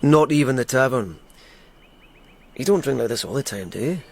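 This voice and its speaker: Radio drama, thoughtful police lieutenant: mid-pitched, measured, understated and reserved. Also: male voice, lively intonation, vocal fry, darkish tone. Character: restrained, earnest police lieutenant, reticent and thoughtful.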